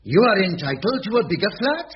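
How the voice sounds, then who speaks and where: An officious council official. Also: male voice, high-pitched, very animated, a little fast, exaggerated voice. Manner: formal, bureaucratic. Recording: dull old radio broadcast with clear hiss.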